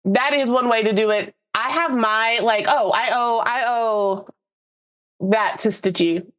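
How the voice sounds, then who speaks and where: A woman's voice: on the high side, measured pace, fairly bright tone. A young woman from the United States, a streamer, chatting casually with her viewers in a rambling way and laughing easily, a little congested and nasal. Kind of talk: casual live-stream chat.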